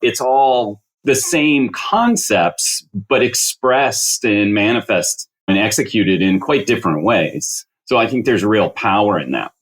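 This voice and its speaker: A man with a slightly low voice hosts a podcast conversation. He speaks reflectively and sounds keen when he passes the floor to his guests. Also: animated, measured pace, few pauses, rough recording. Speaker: podcast host. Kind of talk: hosting a panel conversation.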